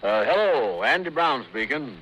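A man playing a slow-witted, amiable working man in an old radio comedy, folksy, hesitant and deferential. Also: mid-range voice, very animated, fairly bright tone, muffled recording. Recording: noisy vintage radio recording with clicks.

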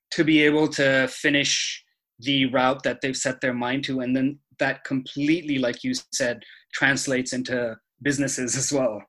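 A young man from Dubai, a podcast guest, talking in a thoughtful, measured way with frequent fillers. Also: mid-range voice, a bit bright. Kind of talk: conversational interview.

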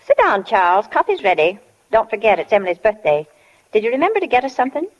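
An actress playing a brisk, busy small-town mother in a 1940s radio drama, with a motherly, sing-song delivery. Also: on the high side, very animated. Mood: brisk fondness. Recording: dull old 1940 radio recording.

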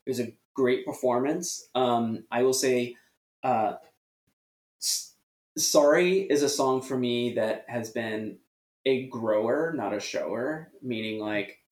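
A man from the US speaks at a middle pitch and talks through his thoughts in a calm, measured way. He is a podcast guest, and the room adds a noticeable echo. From United States. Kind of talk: casual music discussion. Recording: echoey room.

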